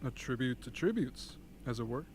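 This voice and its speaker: Measured, wry actor as male strategist, medium pitch, amateur radio drama, faint noise. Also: lively intonation, darkish tone, natural voice. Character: calm, pragmatic male rebel strategist.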